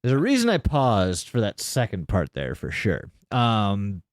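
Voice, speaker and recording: A man's voice: lowish voice, huge pitch swings, measured pace, no echo, clean studio sound. A man hosting a podcast, casually recounting a video in informal, sweary language. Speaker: podcast host.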